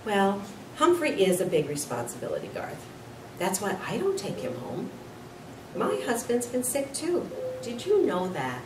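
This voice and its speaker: A woman voicing a concerned, patient female schoolteacher who questions gently in a children's story. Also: medium pitch, wildly swooping pitch, measured pace. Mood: gentle, reassuring. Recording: roomy, distant mic, noisy.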